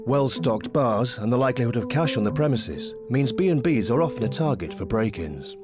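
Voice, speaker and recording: A man's voice: medium pitch, animated, fairly quick, music in the background. A man reading scripted narration for a television documentary.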